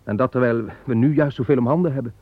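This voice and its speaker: A man's voice: medium pitch, quick pace, no room sound, natural voice. Blunt grumbly farmer guy played by a man, thin phone-ish old radio drama sound. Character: Seth, weary hardworking son of Adam. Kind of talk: radio play acting. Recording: tinny old radio play recording with faint hiss.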